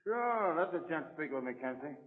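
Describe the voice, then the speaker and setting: An actor playing a cocky, taunting condemned killer with slangy American talk in an old radio drama. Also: male voice, fairly high pitch, very animated, moderate pace. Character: cocky condemned killer, male, taunting tough-talking convict. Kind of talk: 1940s radio drama acting. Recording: muffled old radio recording.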